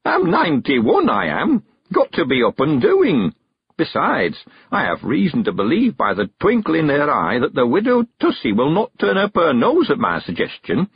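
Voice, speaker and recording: A man's voice: wildly swooping pitch, breathy edge, dry recording, highs cut off, full character voice. A man reading an audiobook, voicing a cheerful, stubborn ninety-one-year-old countryman with a rustic dialect. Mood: chatty. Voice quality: rustic dialect, quavery and high, drawn-out words.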